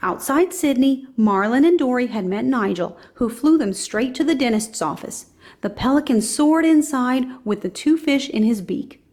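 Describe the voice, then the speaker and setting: A woman's voice: high-pitched, animated, normal speed, a little mellow. A woman reading a children's storybook aloud as scripted narration.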